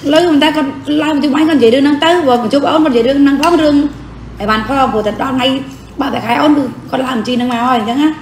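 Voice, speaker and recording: A woman's voice: high voice, fast talker, a little hiss or hum. A woman chatting casually and teasingly during a home lunch. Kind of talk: casual chat while eating. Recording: echoey room with clinking cutlery. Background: cutlery and dishes clinking.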